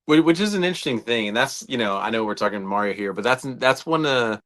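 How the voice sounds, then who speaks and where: A man co-hosting a casual fan talk show, informal and a little halting as he thinks out loud. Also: medium pitch, lively intonation. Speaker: co-host of a video game fan show. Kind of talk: casual conversational film discussion.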